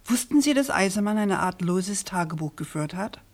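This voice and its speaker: An actress playing a persistent, composed investigative journalist in a radio crime drama, probing and articulate. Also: female voice, mid-range voice, expressive, moderate pace, natural voice.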